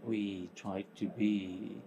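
A middle-aged man with a Portuguese accent, mixed with some Spanish, giving a conference talk as a company co-founder. He speaks in short, halting phrases with pauses. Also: lowish voice, little pitch movement, warm tone. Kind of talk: conference presentation. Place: large conference hall stage, indoors. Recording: conference hall with audience noise.

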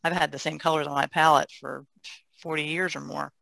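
A woman's voice: deep voice, flat delivery, a little fast, gravelly, fairly bright tone, no echo. An older woman, a painter, casually explaining her craft in conversation. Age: older, about 60s. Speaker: painter, podcast guest.

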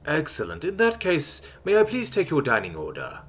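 A man's voice: on the high side, huge pitch swings, normal speed, fairly bright tone, slightly rough, soft background music, voice pushed up, exaggerated voice. A man voicing a nervous, polite male alien waiter who speaks formally and deferentially in a story.

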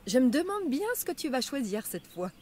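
Kindly, lively cartoon guide. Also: female voice, high voice, fairly quick, crowd noise. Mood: inviting. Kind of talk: children's game narration. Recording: loud music behind.

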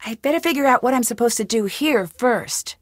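High-voiced curious young detective heroine, played by a woman. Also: brisk, no room sound, clean studio sound, close to an everyday voice. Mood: thoughtful. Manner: clear, thinking aloud in short remarks. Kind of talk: scripted adventure game voice lines.

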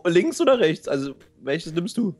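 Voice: medium pitch, big pitch swings, moderate pace, a little mellow, soft background music. A gamer bantering casually during play as co-host of a gaming video.

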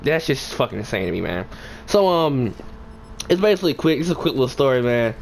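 Young man, middle-pitched voice, casual gaming storytime, slangy and profane, rambling, noisy ambient background. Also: lively intonation, brisk. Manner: profane, slangy, rambling, emphatic when indignant. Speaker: gaming video creator telling a story. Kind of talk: casual storytime over gameplay. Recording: clear background noise and ambience.

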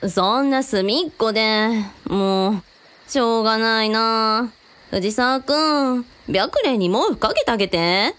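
A woman's voice: a little high, lively intonation, fairly bright tone, clear, resonant tone. A woman playing an affectionate, girlish young sleuth with playful, quirky phrasing in a radio drama. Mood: fond exasperation. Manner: drawn-out, playful, quirky sentence endings.

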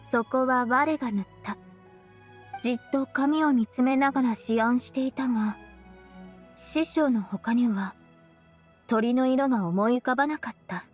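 A woman's voice: a little high, measured pace, long pauses, faint music behind, natural voice. A woman voicing an earnest, polite young woman, a devoted disciple in a Japanese video game, with formal, careful phrasing. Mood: thoughtful.

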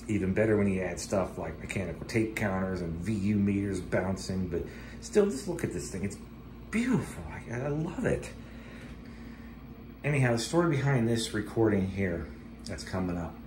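Middle aged guy casually rambling through a gear demo at home, lowish voice, bit of noise behind him. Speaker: vintage tape recorder collector demonstrating a machine. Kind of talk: casual rambling demonstration commentary. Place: home dining room. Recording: roomy echo, steady background noise.

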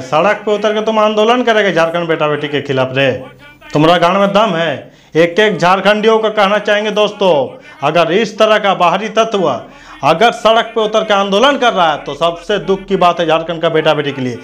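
A man's voice: high-pitched, normal speed, a bit bright. A man delivers a combative political rant to camera on a lapel mic, scornful, abusive and confrontational. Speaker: news commentator.

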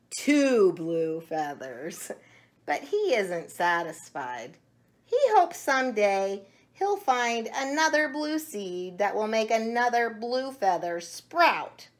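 A middle-aged woman chatting to young children in an animated, simple way in a room at home. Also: fairly low pitch. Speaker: storyteller showing children books and eggs. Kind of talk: casual chat to children.

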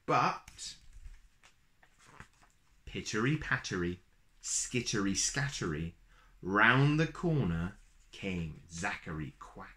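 A man in his thirties reading a picture book aloud to young children in an expressive, deliberate storytelling manner. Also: fairly low pitch. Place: small plain room indoors.

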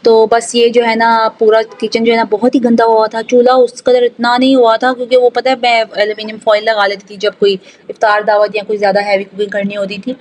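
A woman's voice: a little high, monotone, fairly quick, few pauses, a little mellow. A woman from South Asia doing a casual, chatty vlog voice-over in a rambling, conversational way with repeated filler phrases. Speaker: home vlogger.

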